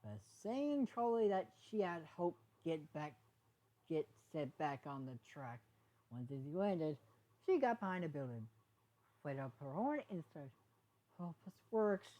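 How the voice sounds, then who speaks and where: A woman reading a story aloud as narrator, haltingly, often stumbling and repeating words. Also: low voice, very animated, warm tone. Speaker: fan fiction reader.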